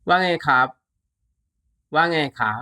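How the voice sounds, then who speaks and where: A language teacher giving a scripted lesson, enunciating deliberately and repeating phrases syllable by syllable before translating them. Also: pushed and bright.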